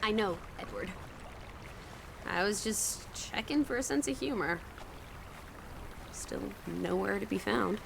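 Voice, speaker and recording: A woman's voice: mid-range voice, animated, slow pace, creaky, long pauses, noisy background, heavily acted. A woman voicing a sociable, playful young travel companion in a video game, with a breezy, wry, mock-dramatic way of talking. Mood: wry, teasing.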